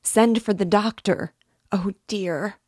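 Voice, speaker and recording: Audiobook role for a woman narrator: distressed young woman, higher voice, pleading and tearful, dry recording. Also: steady tone, takes it slowly, darkish tone, no room sound. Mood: urgent pleading. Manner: crying, imploring. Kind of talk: dramatic audiobook character reading.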